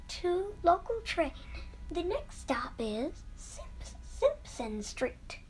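A woman reading scripted subway train announcements in a measured, formulaic manner. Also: very high-pitched, a little hiss or hum.

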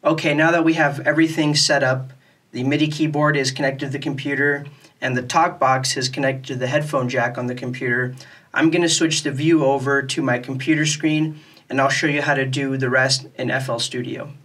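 A man's voice: medium pitch, level tone, fairly quick, fairly bright tone. A man giving a casual, step-by-step tutorial in a plain, methodical way. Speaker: music tutorial host.

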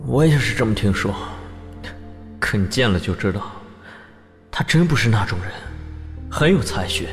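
Actor as a terse, low-ish young guy, reverb, audio drama. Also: animated, normal speed, pauses often. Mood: reserved. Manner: terse, reserved. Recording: reverberant with faint music.

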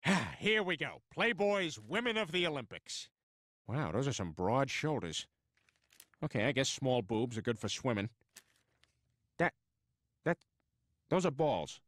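A man's voice: mid-range voice, sing-song, measured pace, long pauses, a bit bright. An actor voicing a crude, blunt middle-aged father in a cartoon. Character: crude loudmouth dad, middle-aged man.

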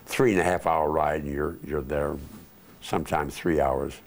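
An older man telling war memories in a casual interview, unhurried and rambling with many fillers, recorded on a lapel mic in a living room. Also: a bass voice, fairly even intonation. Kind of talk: casual oral history interview. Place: living room, seated on couch.

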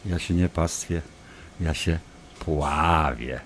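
An actor playing a smug, obsessive doctor in an audio drama, taunting and insinuating as a manipulative ex-lover. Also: male voice, rumbling low, drawn-out words, a little hiss or hum, exaggerated voice. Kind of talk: audio drama acting, dialogue.

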